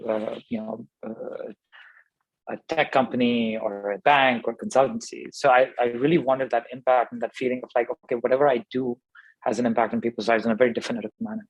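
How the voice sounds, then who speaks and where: Founder from Pakistan, male, 30s, reflective and halting, mid pitch, dry recording. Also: no room sound, muffled recording. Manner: thoughtful, many fillers and restarts. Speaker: startup founder guest. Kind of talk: conversational interview answers.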